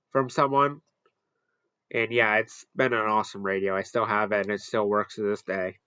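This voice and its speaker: A man's voice: medium pitch, sharp tone, no room sound, muffled recording. A man giving casual, off-the-cuff commentary as he hosts a product ranking. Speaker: host ranking weather radios.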